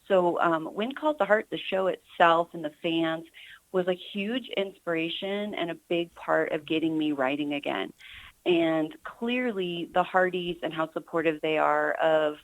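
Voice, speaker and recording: A woman's voice: on the low side, unhurried, dry recording, rough recording. A middle-aged American woman giving earnest, enthusiastic answers as an author being interviewed on radio.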